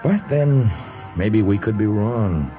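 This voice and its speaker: Low-voiced calm jungle hero. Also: male voice. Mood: reflective. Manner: measured, thoughtful, formal diction. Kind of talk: 1950s radio drama acting. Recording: dull old radio recording, music behind.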